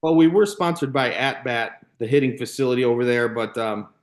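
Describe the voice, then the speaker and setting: A man's voice: mid-range voice, a little fast. American man rambling through casual stories. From Illinois, United States. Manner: rambling, peppered with "you know", "like" and "man". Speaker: podcast guest, former baseball player and youth coach. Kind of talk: casual anecdotal storytelling.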